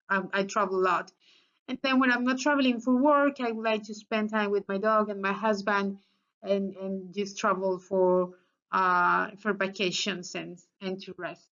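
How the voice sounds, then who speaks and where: A Colombian-accented woman in her thirties whose native language is Spanish introduces herself to a class. Her voice is bright and forceful, and she pauses often with fillers and restarts. The recording is slightly muffled and lo-fi. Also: mid-range voice. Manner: hesitant, many fillers and restarts. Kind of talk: casual self-introduction.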